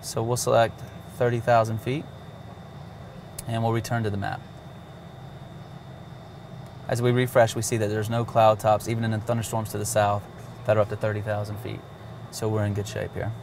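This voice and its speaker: A man with a low, gravelly voice walks through a cockpit avionics demo in a relaxed, matter-of-fact way. He speaks in a flat, even tone over faint background noise. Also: moderate pace, pauses often. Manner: walks through steps plainly, with fillers. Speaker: avionics demonstrator. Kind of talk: casual product demonstration.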